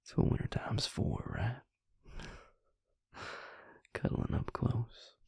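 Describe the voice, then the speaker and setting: A man playing an affectionate, laid-back vampire mate in an intimate roleplay audio, speaking in a breathy, gravelly murmur with tender, hesitant pauses. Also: on the low side, huge pitch swings, warm tone, no echo, heavily acted. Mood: tender, cozy.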